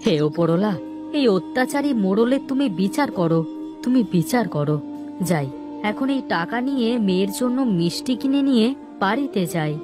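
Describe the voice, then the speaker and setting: A man's voice: high-pitched, animated, normal speed, music in the background. A man playing a meek, good-natured poor villager and devoted father in a cartoon, with a humble way of talking. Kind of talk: cartoon character acting.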